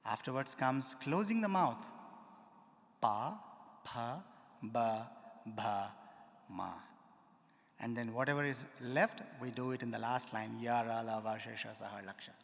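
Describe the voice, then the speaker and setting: A man with an Indian accent giving a lively lecture demonstration, quizzing the audience and sounding out syllables. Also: medium pitch, unhurried, creaky, long pauses. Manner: demonstrative, engages the audience with questions and spoken syllables. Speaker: music lecturer. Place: large auditorium. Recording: hall reverb.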